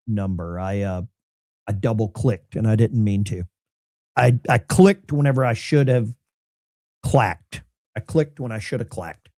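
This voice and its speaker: A man's voice: medium pitch, warm tone, no echo, studio quality. A man hosting a call-in show in a casual, joking, offhand way. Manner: joking, offhand, quick quips.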